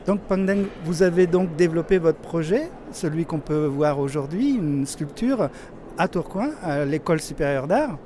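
Mellow-voiced male interviewer. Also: on the high side, lively intonation, a little hiss or hum. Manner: long measured questions with fillers. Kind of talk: interview questions.

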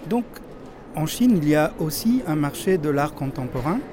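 A male interviewer asking long, measured questions with occasional fillers. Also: on the high side, animated, background noise.

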